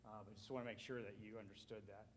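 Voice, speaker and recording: A man with an American accent giving an informal conference presentation, speaking conversationally with frequent filled pauses. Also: lowish voice, steady tone, slight background noise. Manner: conversational, colloquial.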